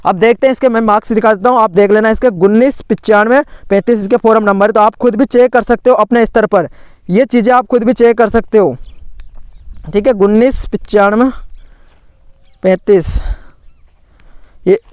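Man, very high voice, dry close sound, urgent indignant tone, frequent restarts. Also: fairly even intonation, normal speed, long pauses, darkish tone, smooth, no echo. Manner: urgent, indignant, many restarts and "theek hai" fillers. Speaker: education channel host. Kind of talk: screen-recorded commentary on admission results.

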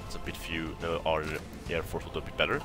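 A man's voice: a bass voice, monotone, quick pace, over loud music. A young man with a faint Italian accent giving rambling, casual commentary while playing a strategy game, laughing often and talking to the enemy. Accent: Italian, softened by years in Sweden. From Italy. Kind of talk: casual let's-play commentary.

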